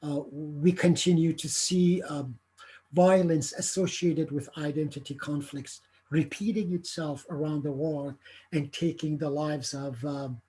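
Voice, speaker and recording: A middle-aged man gives an earnest, carefully prepared lecture, pausing often on fillers and repeated words. His voice sits a little high for a man and has a husky, slightly breathy edge. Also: fairly even intonation, mellow. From Iran. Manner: halting, many filler sounds and restarts, earnest. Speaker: theatre artistic director giving a lecture.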